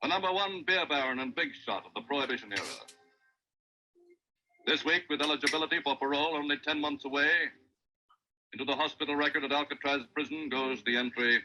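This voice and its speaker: An actor playing a grave, urgent newsreel announcer, clipped and declamatory, narrating a 1930s radio news dramatization on an old recording. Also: male voice, a little high, a little fast, pushed and bright, muffled recording, slight background noise. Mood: grave, dramatic.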